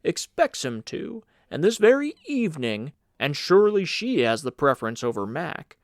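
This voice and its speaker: A man reading an audiobook as a stout, kindly gentleman who is eager to please, coaxing and jovial. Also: a little high, very animated, moderate pace, dry recording, clean studio sound. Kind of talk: audiobook character dialogue.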